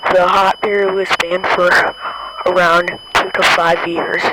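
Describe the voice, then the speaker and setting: A man reading an emergency announcement in a flat, unemotional way. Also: high-pitched. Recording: clear hum and buzzing beeps.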